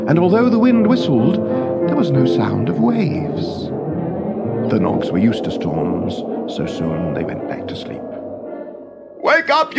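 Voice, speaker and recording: A man reading a scripted children's story in a measured, expressive storytelling manner. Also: medium pitch, gravelly.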